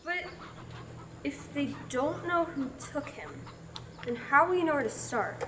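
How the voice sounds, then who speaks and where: A woman's voice: high-pitched, animated, a little slow, a bit bright, slight background noise. A young woman in a student radio drama, playing a dry-witted farm woman. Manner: wry, dry humor.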